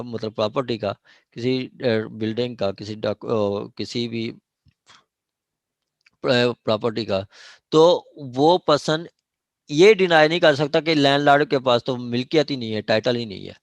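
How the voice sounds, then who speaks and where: A male lecturer with a Pakistani accent speaks at a middling pitch. He explains informally and repetitively, dropping English terms into his speech and often pausing on short check phrases. The sound is close and dry, with little room in it. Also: no echo. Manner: switches between Urdu and English legal terms. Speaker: law lecturer at a judicial academy.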